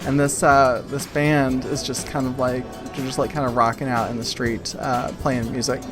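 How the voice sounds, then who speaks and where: A woman game master narrating an improvised tabletop game in a casual, halting way, pausing mid-sentence. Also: rumbling low, little pitch movement, over music.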